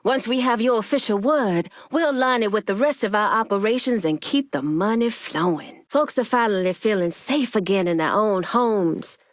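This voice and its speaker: Actress as commanding woman crime boss, video game character, proud, shrewd, firm deliberate delivery, mid-pitched, dry close studio sound. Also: animated, no room sound, lightly acted. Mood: composed, assured.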